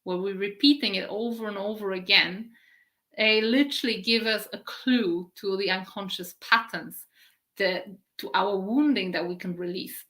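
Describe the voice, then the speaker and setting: A middle-aged woman gives a spiritual talk on a live stream, slowly drawing out key words. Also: mid-range voice, bright, forceful tone. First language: Polish. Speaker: spiritual teacher.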